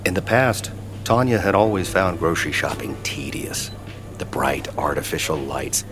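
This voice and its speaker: A man giving somber scripted narration as the storyteller of a horror audio drama. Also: a bass voice. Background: music.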